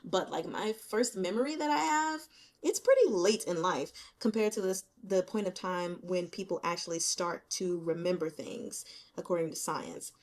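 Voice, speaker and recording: A woman hosting a reading channel, talking through her notes in a casual, candid, colloquial and animated way. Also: on the low side, normal speed. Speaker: host of a book reading channel. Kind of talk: casual chatty book commentary. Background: rain.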